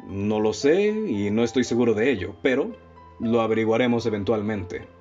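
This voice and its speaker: Radio drama detective, male actor: lowish Mexican voice, composed, analytical, formal delivery, dull lo-fi sound. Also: expressive, darkish tone, natural voice. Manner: formal, measured, explanatory. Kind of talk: radio play acting. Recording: dull lo-fi audio with music bed.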